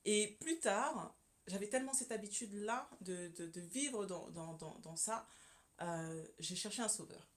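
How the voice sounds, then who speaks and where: A woman's voice: medium pitch, unhurried. A woman from Congo, a communication consultant, speaking as a guest on a radio interview, earnest and full of hesitations and repeated words. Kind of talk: radio interview, personal testimony. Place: radio studio.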